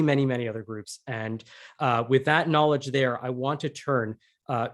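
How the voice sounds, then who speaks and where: A man hosting a podcast in a conversational way, explaining things and introducing people. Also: medium pitch. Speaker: podcast host.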